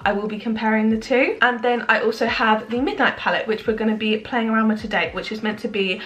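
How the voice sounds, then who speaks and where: A young woman with a croaky voice, chatting casually and self-deprecatingly through a makeup review at home in the evening. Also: a little high, steady tone, fast talker, a bit bright, slight background noise. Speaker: beauty vlogger.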